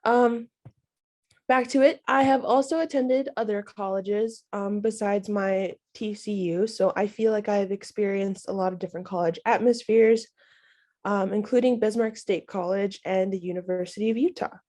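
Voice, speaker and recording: A woman's voice: mid-range voice, steady tone, moderate pace, darkish tone. A young woman getting over a cold, sounding nasal, introducing herself as a student panelist in a friendly, chatty way over a video call.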